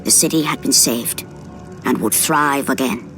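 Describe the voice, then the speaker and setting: An actress playing a solemn narrator of an epic legend, giving scripted, portentous narration for a fantasy video game. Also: female voice, very deep voice, measured pace, fairly bright tone. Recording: music behind the narration.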